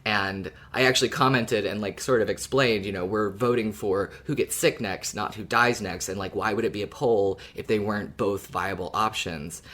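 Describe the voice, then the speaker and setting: A man's voice: lowish voice, normal speed, hardly any pauses, a bit bright. A man co-hosting a podcast in casual discussion, explaining things in a thoughtful, hedging way. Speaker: podcast co-host.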